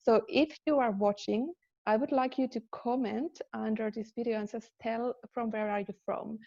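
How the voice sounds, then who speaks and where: A woman's voice: a little high, fairly even intonation, moderate pace, creaky, warm tone, lo-fi sound. A woman from Finland, hosting a live podcast interview in an upbeat way, with fillers and restarts. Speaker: podcast host.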